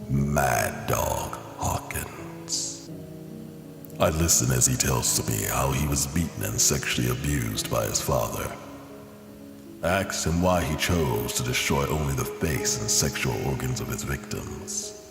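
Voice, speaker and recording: A man voicing a somber, haunted psychiatrist narrating his journal for a comic-book drama, measured and brooding, pausing between phrases. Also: a bass voice, sing-song, vocal fry, exaggerated voice. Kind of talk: dramatic first-person scripted narration. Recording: reverberant echo under music.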